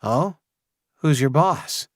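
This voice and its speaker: A man's voice: mid-range voice, lively intonation, slow pace, dry recording, studio quality, pitched above the performer's own voice. A man voicing a friendly, curious, teasing male survivor in an audiobook. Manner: casual, teasing.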